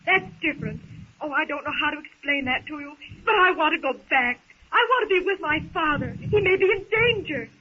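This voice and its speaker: A woman's voice: sits high, animated, brisk, a little hiss or hum. An actress playing an anxious, refined young woman stranded in the jungle, earnest and theatrical in 1930s radio style. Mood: worried. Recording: muffled, tinny 1930s radio recording.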